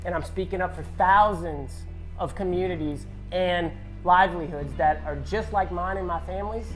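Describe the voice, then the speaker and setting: A woman's voice: on the low side, normal speed, soft background music, low-quality recording. A woman from Louisiana speaking out in plain, defiant, impassioned testimony. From Louisiana, United States.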